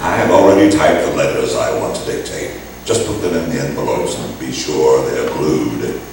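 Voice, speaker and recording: A man's voice: very deep voice, moderate pace, a little mellow. A man playing a bossy, exasperated office boss, curt and impatient, in an old-time radio comedy. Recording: echoey room, distant mic, faint noise.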